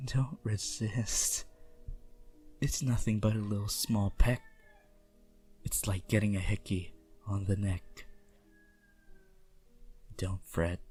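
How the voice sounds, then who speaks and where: A man playing a possessive, seductive vampire prince in a teasing, intimate ASMR roleplay with quiet menace. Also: fairly low pitch, breathy edge. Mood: coaxing, menacing.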